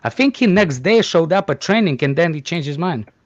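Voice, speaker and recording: A man whose first language is Russian, chatting in a casual group call. His English is halting but blunt, and he teases the others without holding back. His voice sits a little high, and the sound is dry and slightly dull. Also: expressive, dry recording. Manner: blunt, teasing, halting non-native English. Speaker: panelist. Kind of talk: casual chat.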